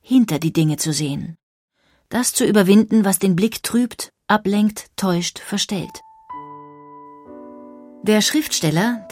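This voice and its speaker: A woman reading scripted biographical narration with measured, deliberate phrasing. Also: fairly low pitch, darkish tone. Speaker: documentary narrator. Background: music.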